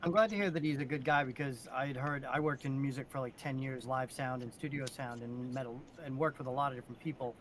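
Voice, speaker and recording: A man's voice: mid-range voice, fairly even intonation, fast, hardly any pauses, slight background noise, low-quality recording. A man talking casually on an interview panel, in plain conversational sentences. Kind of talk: casual chat.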